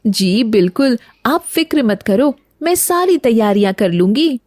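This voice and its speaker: A woman's voice: on the high side, animated, measured pace, a little mellow, no echo, clean studio sound. A woman playing a dutiful, fretful wife of a village woodcutter in a cartoon story, polite and homely. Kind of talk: Hindi cartoon story dialogue.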